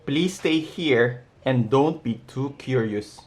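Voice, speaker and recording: A man voicing a caring, cautious man from a children's storybook, speaking with gentle, measured advice. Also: mid-range voice, natural voice. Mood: cautioning.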